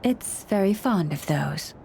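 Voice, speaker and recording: An actress playing a gentle, caring woman who looks after forest animals, speaking in a soothing, measured way in a video game. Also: fairly low pitch, expressive, gravelly, slight background noise, heavily acted. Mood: kindly. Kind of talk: video game character dialogue.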